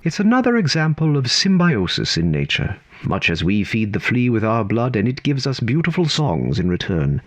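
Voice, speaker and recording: An actor as a whimsical storyteller narrating an audio drama in a dramatic, theatrical manner. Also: male voice, medium pitch, huge pitch swings, normal speed, few pauses. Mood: wry, wondering. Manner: dramatic, swooping from hushed to urgent.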